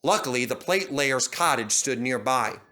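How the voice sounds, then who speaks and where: A man reading a children's story aloud in dramatic, expressive scripted narration. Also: medium pitch, moderate pace. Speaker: narrator and reader.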